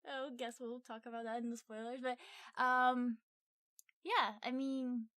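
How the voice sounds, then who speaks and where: A woman with a fairly high voice co-hosts a review podcast. She speaks casually and playfully, rephrasing as she thinks out loud. The sound is dry, with hardly any room on it. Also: steady tone, dry recording. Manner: halting, rephrases as they think aloud. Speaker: podcast co-host reviewing a film. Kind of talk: casual unscripted review chat.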